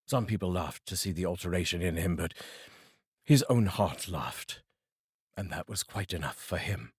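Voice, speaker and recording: A man from the United Kingdom giving a theatrical, expressive reading of a classic story as narrator. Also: sits low, moderate pace, slightly rough, no echo, clean studio sound. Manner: theatrical and expressive, savouring phrases. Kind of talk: dramatic audiobook reading.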